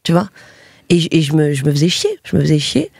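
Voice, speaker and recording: A woman in her twenties from Paris, a comedian guest chatting in a podcast interview, telling stories in a relaxed way with many fillers. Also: deep voice, fairly quick, gravelly, husky, dry recording, clean studio sound. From Paris, France. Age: 20s.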